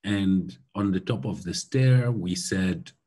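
A man's voice: low-pitched, slow, mellow. A man from Syria in his forties, giving a thoughtful keynote over a video call from a room at home, with many hesitations. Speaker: keynote speaker. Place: room at home by a glass door. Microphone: laptop webcam on video call.

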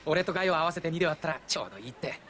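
A man's voice: on the high side, racing, a little hiss or hum. A man voicing a hot-headed, boisterous teenage boy martial artist in an anime. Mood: reflective. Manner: boisterous, excitable. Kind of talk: anime voice acting.

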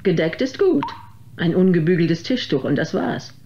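A woman's voice: fairly low pitch, very animated, normal speed, mellow. Radio drama diva: haughty, pompous, condescending female singer. Muffled, noisy recording. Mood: disdainful, peevish. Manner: pompous, disdainful.

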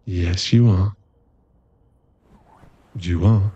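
A man playing a playful, teasing boyfriend in an intimate ASMR roleplay, talking haltingly and acting mock-offended and cajoling. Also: deep voice, fairly even intonation, warm tone, slightly rough, no echo, dull audio, exaggerated voice. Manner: teasing, halting, intimate one-sided chat.

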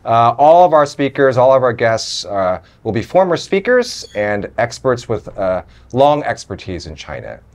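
A man's voice: mid-range voice, very animated, normal speed, hardly any pauses. A man in his forties hosting a talk show, giving a welcome and introducing guests with some fillers. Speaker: host. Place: studio with city-view windows.

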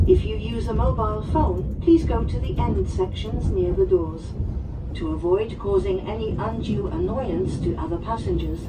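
A woman reading a scripted onboard train announcement in a polite, formal manner. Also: distant mic. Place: inside a moving train, over the speaker system. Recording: train PA recorded amid loud rumble.

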